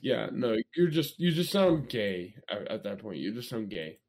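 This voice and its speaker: A young man co-hosting a podcast, brash and joking, swinging between loud boasts and mutters. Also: on the low side, big pitch swings, normal speed. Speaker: podcast co-host. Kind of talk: casual chat among friends.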